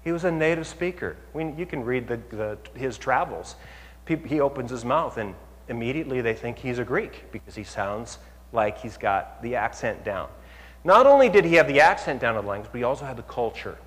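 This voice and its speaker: A male pastor giving an animated sermon, asking the audience questions and rising to near-shouts to make his points. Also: medium pitch, a little fast. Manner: asks the audience rhetorical questions. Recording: long hall reverb.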